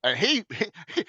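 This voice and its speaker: A man in his late fifties hosting a casual chat show, joking and rambling excitedly. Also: sits high, breathy edge, no echo, highs cut off. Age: 50s. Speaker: podcast host.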